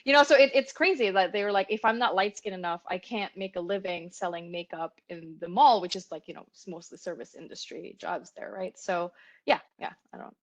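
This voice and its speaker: A woman from the Philippines talks in a relaxed, chatty way, with lots of fillers and easy laughter. Her voice is muffled, as on a video call, and her pitch sits in a middling range. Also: fairly quick. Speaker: educator on a webinar panel. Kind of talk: casual panel discussion.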